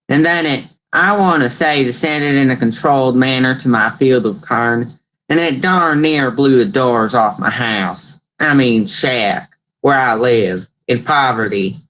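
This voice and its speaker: A man's voice: medium pitch, bright, forceful tone. A man playing a bumbling, stubborn poor farmer in a mock radio play, hesitant and wavering between formal and folksy wording. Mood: flustered.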